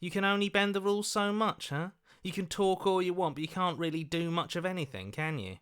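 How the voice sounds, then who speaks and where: An actor playing a cynical, distrustful inner voice in a video game, bitter and sarcastic. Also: male voice, high-pitched, brisk, fairly bright tone, clear, resonant tone. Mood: sarcastic, indignant. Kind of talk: scripted game voice acting.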